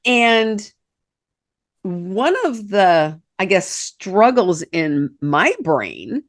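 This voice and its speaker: A woman co-hosting a spiritual podcast, slightly nasal, talking slowly and thoughtfully with lots of affirming replies. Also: mid-range voice, sing-song, no echo, studio quality. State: slightly nasal from a held-back sneeze. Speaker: podcast co-host, spiritual medium. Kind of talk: casual spiritual chat.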